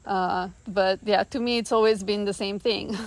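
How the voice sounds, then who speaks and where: Female interview guest from Romania, mid-pitched, earnest and reflective, prone to laughing as she talks. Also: steady tone, moderate pace. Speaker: guest, artist. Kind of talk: reflective personal conversation.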